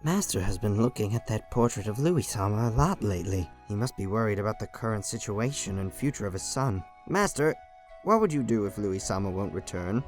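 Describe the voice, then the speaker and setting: Man as a low-voiced, dutiful anime servant. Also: animated, brisk, soft background music, close to an everyday voice. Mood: concerned, deferential. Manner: polite, deferential. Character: formal, dutiful male animal servant to his master.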